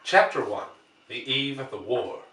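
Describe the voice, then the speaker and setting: A man who works as a storyteller, speaking with theatrical, deliberate phrasing and recorded in an echoey room at a distance. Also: mid-range voice, expressive, a bit bright. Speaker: storyteller and host. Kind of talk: dramatic audiobook reading and chatty introduction. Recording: roomy echo, distant from microphone.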